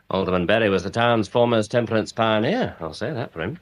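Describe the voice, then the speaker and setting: An actor playing a pompous, long-winded small-town amateur theorist in a British radio drama, with an English accent and wordy, ponderous manner. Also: male voice, lowish voice, lively intonation, brisk, close to an everyday voice. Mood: earnest theorising. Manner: wordy, ponderous, self-important. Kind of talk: British radio comedy-mystery drama acting.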